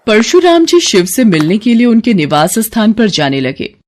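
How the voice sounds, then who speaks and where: A woman's voice: mid-range voice, sing-song, moderate pace, darkish tone, a little hiss or hum. A woman narrating a scripted devotional story as a storyteller.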